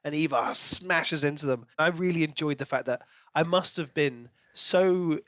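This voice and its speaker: A man's voice: fairly high pitch, moderate pace, a bit bright, no room sound. A man co-hosting a podcast in casual book discussion, acting out reactions as he retells. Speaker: podcast co-host.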